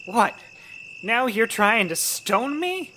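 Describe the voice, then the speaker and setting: Casting: a woman as a cornered, self-pitying suspect, pleading, highly animated, noisy night-time radio drama. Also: slow. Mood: desperate pleading. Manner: pleading, stammering, repetitive. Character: desperate, cornered, self-pitying neighbor deflecting blame. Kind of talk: radio play acting. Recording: noisy, night insects behind.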